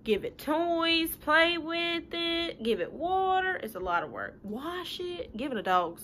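Woman in her thirties, lively swooping intonation, unhurried pace, chatty children's read-aloud, simple explanations. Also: extremely high pitch. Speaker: teacher reading a picture book to children. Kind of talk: animated read-aloud with casual asides. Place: living room at home. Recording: home recording with light room noise.

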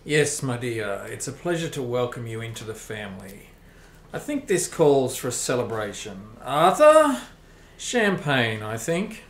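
A man's voice: medium pitch, sing-song, unhurried. Man as a swooping, courtly vampire count. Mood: genial. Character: regal centuries-old vampire count, genial host. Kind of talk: amateur radio play acting. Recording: roomy, noisy home recording.

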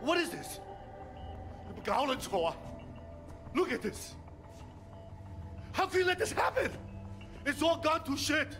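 An actor playing a furious, paranoid crime boss in a video game, ranting and shouting as he blames others. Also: very high voice, big pitch swings, a little slow, pauses often, soft background music, exaggerated voice. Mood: furious, blaming. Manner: ranting, shouting, switching into Cantonese. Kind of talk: video game cutscene acting.

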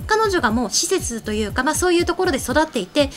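A woman's voice: high-pitched, lively intonation, fast talker. A woman co-hosting a casual anime and game discussion in a studio, speaking into a desk microphone. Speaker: show co-host. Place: small indoor studio set.

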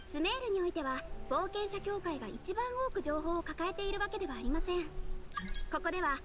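A woman's voice: extremely high pitch, expressive. A woman voicing a composed young guild receptionist in an anime game, speaking formally and politely. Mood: helpful, composed. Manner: formal, courteous, measured. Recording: game music behind the voice.

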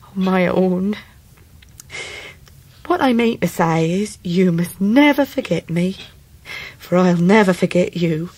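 A loyal Victorian nursemaid in a radio drama. Her English voice is fairly low and husky, with a halting, homely, tender way of talking. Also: darkish tone. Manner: halting, tender, plain-spoken. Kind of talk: 1990s radio drama acting.

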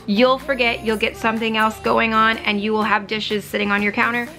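A woman's voice: a little high, flat delivery, fairly quick, sharp tone. A woman in her thirties giving practical, matter-of-fact cleaning tips as a home vlogger in a voice-over. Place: home living room, on a couch. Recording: voice-over with music underneath. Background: background music.

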